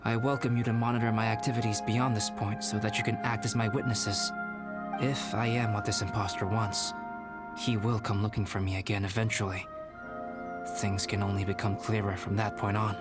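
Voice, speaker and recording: Composed young scholar, low-ish, formal, unhurried voiced by a man. Music under. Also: flat delivery, close to an everyday voice. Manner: measured, formal, polite. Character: calm young male alchemist, composed scholar. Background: game music.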